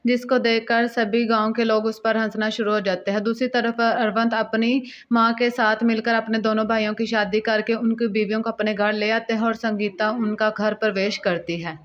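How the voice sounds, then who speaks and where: A woman's voice: on the high side, level tone, normal speed, few pauses. A woman narrating a scripted Hindi cartoon story as storyteller, in a dull lo-fi recording.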